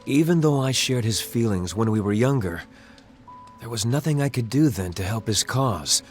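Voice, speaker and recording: An actor playing a calm, sincere young prince in a game cutscene, speaking in a measured, formal, soft-spoken way. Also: male voice, medium pitch, natural voice. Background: game soundtrack music.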